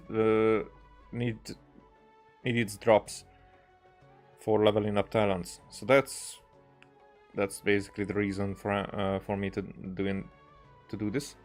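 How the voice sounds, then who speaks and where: A man giving casual, rambling gameplay commentary as a streamer, halting and often repeating his words. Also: fairly low pitch. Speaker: game streamer. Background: game music.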